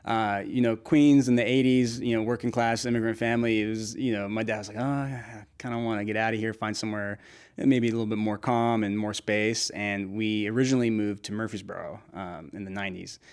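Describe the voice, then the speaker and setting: A man's voice: fairly low pitch, steady tone, fairly quick, gravelly. A young man from Nashville chatting as an interview guest, laughing often and restarting his sentences in a friendly, easygoing way. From Nashville, United States. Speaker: interview guest, food creator. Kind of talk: casual conversational interview.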